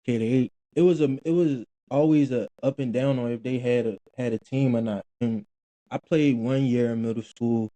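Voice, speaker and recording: A young man, his voice very dark and mellow. He talks in a loose, rambling way with slang and many restarts, recorded close and dry. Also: medium pitch, steady tone, normal speed, dry recording, low-quality recording. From Philadelphia, Pennsylvania. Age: 20s. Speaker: guest, former college football player. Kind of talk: casual interview talk.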